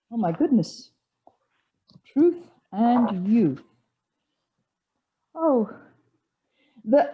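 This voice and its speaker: An actress playing a bossy, grand older society woman, declaiming emphatically and a little haltingly in a stage comedy over a video call. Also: a little high, lo-fi sound.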